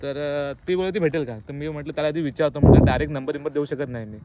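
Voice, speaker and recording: A young man chatting casually as a vlogger, teasing and often halting or repeating himself, with some noise around him. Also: fairly high pitch, dull audio. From Maharashtra, India. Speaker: vlogger making a prank call. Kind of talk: casual chat, playful vlog.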